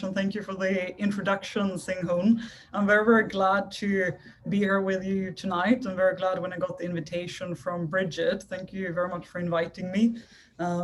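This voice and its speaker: A woman's voice: lowish voice, a little hiss or hum. A young woman from Sweden giving a friendly, chatty talk to a club meeting over a video call. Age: young adult. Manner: friendly, chatty, lightly self-deprecating. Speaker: guest speaker. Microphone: video call microphone.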